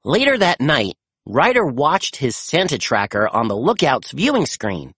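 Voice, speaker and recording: Very animated children's storybook reader. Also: medium pitch, measured pace, slightly rough, dry recording, clean studio sound. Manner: animated, dramatic emphasis on dialogue. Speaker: storybook narrator. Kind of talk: expressive children's read-aloud.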